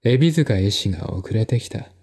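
A man reading literary narration in a measured, deliberate, formal manner, as in an audio drama. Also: fairly low pitch, animated, creaky, darkish tone, husky. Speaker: narrator, solo audiobook reader.

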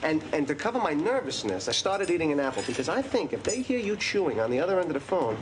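An actor in his thirties playing a neurotic, anxious man who rambles excitably and puts himself down while telling a dating story. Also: fairly high pitch, fast, noisy background. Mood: rambling. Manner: rambling, self-deprecating, excitable. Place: coffee shop and a parked car at night.

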